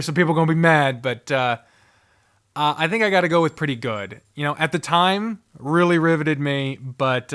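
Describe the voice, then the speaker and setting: A man's voice: on the high side, a bit bright, clean tone. A man giving casual, rambling ranking commentary, thinking aloud and swearing freely in an informal way. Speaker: host.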